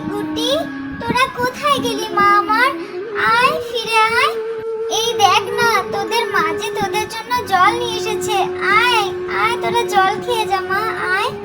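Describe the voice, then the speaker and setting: A woman's voice: very high-pitched, fairly even intonation, normal speed, loud music behind the voice, pitched above the performer's own voice. A woman voicing a loving, anxious mother bird in a cartoon, speaking emphatically and with feeling. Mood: distraught.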